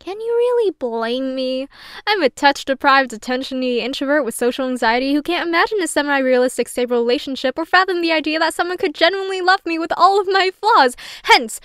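A woman's voice: high-pitched, a bit bright, dry recording, studio quality. A young adult woman playing a sardonic, flustered, self-deprecating young woman who rambles and swears casually in an audio roleplay monologue. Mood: self-deprecating, confessional.

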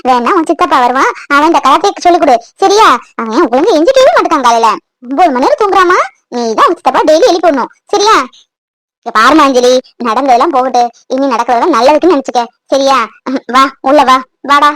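Woman doing a fussy loving old granny, high pitched, homely coaxing way, family drama. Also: animated, fast, a bit bright, no echo, low-quality recording. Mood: affectionate, coaxing. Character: affectionate, fussing elderly grandmother.

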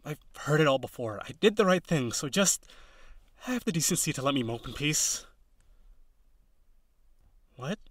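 A man playing a tender, insecure young male harpy in an intimate audio roleplay, halting and pleading as he speaks. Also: medium pitch, no room sound, lightly acted. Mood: bitter, dejected.

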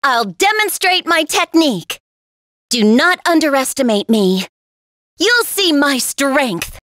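A woman's voice: sits high, big pitch swings, takes it slowly, fairly bright tone, no room sound, clean studio sound, exaggerated voice. A woman playing a proud, determined young female warrior in a video game, making firm declarations. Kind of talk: English game character voice lines.

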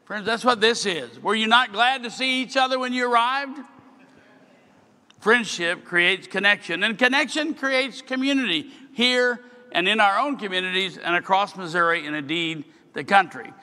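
A man's voice: high voice, pushed and bright. Older man preaching in a reverberant hall. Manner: earnest preaching, slowing on scripture. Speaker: bishop. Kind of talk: sermon keynote address. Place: large hall at a podium. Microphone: podium microphone.

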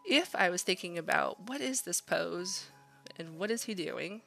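A woman's voice: fairly low pitch, lively intonation, measured pace, creaky, sharp tone, soft background music. A woman art instructor giving an informal, chatty drawing demonstration, thinking aloud in an encouraging way.

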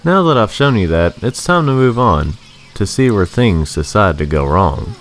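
A man's voice: on the low side, sing-song, normal speed, soft background music. A man reading a scripted, rhyming video game review in a sarcastic, sing-song manner.

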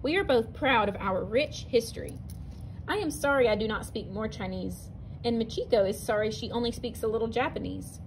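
A woman teacher reading a narrative poem aloud from a picture book, clear and expressive, with a few small restarts. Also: on the high side, brisk, slight background noise, low-quality recording. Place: indoors, at a table over an open book.

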